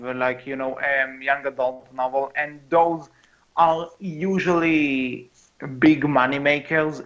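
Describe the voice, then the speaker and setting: A man's voice: mid-range voice, a bit bright. A man hosting a casual podcast discussion, hesitant and often repeating himself. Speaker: podcast host. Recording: dull lo-fi mic.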